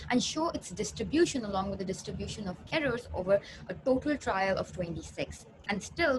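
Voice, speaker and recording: A woman's voice: medium pitch, a little hiss or hum, low-quality recording. A woman researcher giving an explanatory academic presentation, now and then stumbling over and restarting her words.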